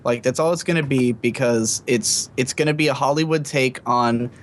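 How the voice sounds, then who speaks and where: Male podcast panelist, mid-pitched, opinionated and rambling, blunt language, slightly noisy rumbling recording. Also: moderate pace. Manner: rambling, blunt, frequent swearing. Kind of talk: casual opinionated podcast discussion. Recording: clearly noisy mic with low rumble.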